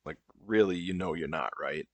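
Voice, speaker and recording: Comedy sketch: exasperated male group counselor, played by a man, low register, halting deadpan delivery, patronizing. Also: dry recording. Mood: awkward, deadpan. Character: exasperated, deadpan male therapy group leader for game champions.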